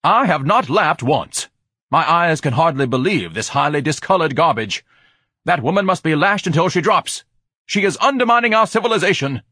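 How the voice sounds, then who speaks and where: A man voicing a pompous, melodramatic man who splutters with grandiose indignation, in a husky audiobook character voice. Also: a little high, lively intonation, moderate pace, sharp tone, no echo, studio quality, pitched above the performer's own voice, heavily acted. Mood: hysterical indignation. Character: pompous, hysterically outraged man ranting at the world.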